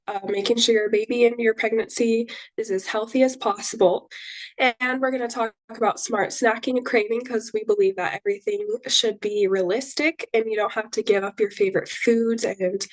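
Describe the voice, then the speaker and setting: A woman's voice: fairly high pitch, low-quality recording. A young woman dietitian getting over a cold, presenting a webinar in a chatty, reassuring way with many ums. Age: young adult. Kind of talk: casual educational presentation.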